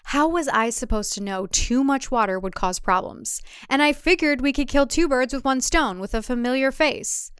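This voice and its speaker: A woman's voice: high-pitched, moderate pace, few pauses, a bit bright, smooth, no room sound, clean studio sound, voice pushed up. A woman voicing a whiny, defensive adult son of a cult leader with an indignant, complaining manner. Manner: retorting, squealing, indignant.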